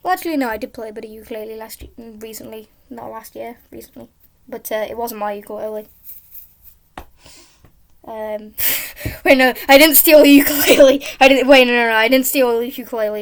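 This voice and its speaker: Girl with a high voice, kinda flat delivery, just rambling on while she plays. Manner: rambling and chatty, drifting off topic. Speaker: gaming YouTuber. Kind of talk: casual commentary while playing a game.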